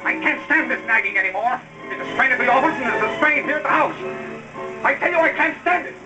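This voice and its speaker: An actor playing an exasperated, thrifty young working husband in a 1930s melodramatic film style. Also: male voice, very high-pitched, animated, quick pace. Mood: desperate, angry. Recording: dull old film soundtrack, music behind.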